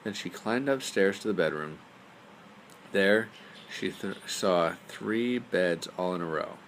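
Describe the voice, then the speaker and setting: A man's voice: low-pitched, slow pace, rough recording. A man narrating a bedtime story aloud as a storyteller. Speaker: storyteller, father reading aloud. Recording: faint background noise.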